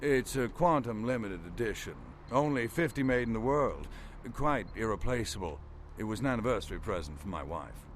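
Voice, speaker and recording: Nervous rich civilian played by a man: polite, hesitant game character, lowish animated voice over faint street noise. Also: normal speed, close to an everyday voice. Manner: hesitant, mannered, stammering with uhs. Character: nervous, polite, well-off male civilian, easily cowed.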